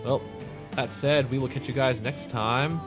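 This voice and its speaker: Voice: medium pitch, measured pace, music in the background. A podcast host chatting casually in a rambling, jokey way, often self-correcting. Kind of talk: casual chat.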